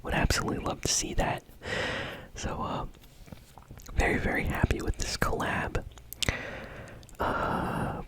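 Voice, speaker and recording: A host whispering casual, conversational news commentary in a soft, breathy, unvoiced ASMR style. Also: extremely high pitch, low-quality recording. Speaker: host of a gaming news show.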